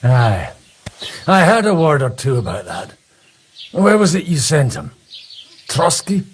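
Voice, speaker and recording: An actor playing a shrewd, wry, roguish cleric in a video game, with a husky voice and a dry, unfazed manner. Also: male voice, on the high side, very animated, unhurried, slight background noise. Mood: dry, curious.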